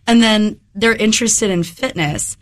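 A woman interview guest, a little hoarse from a head cold, explaining things conversationally. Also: mid-range voice, measured pace. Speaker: interview guest, wellness business owner.

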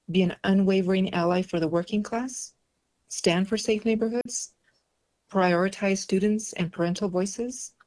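A woman's voice: medium pitch, warm tone. A woman born in Mexico whose first language is Spanish, answering interview questions as a political candidate, earnest and repeating phrases for emphasis.

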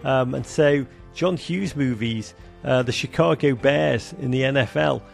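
Gravelly Liverpool man, animated talk. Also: mid-range voice, unhurried, soft background music. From England. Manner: animated, with many fillers. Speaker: soccer broadcaster and author. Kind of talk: conversational interview.

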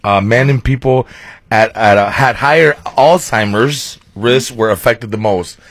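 A man's voice: medium pitch, unhurried, no room sound. A man co-hosting a morning radio show, playful and hesitant, stumbling through fillers. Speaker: radio co-host.

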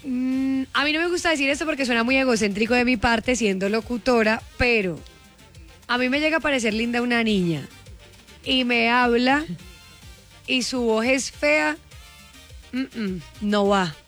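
Woman, Colombian accent, radio host, higher voice, casual teasing banter, faint music bed. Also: normal speed, a bit bright. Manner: playful, teasing.

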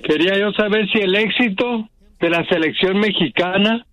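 Blunt, stubborn man with a high voice. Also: moderate pace, fairly bright tone. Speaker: radio show regular. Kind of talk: call-in banter on radio.

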